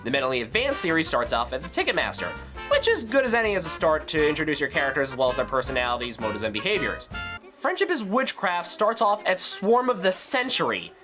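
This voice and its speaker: A man's voice: on the high side, very animated, fast talker, over music. A man delivering a scripted critical review in a ranting, sarcastic, exasperated manner.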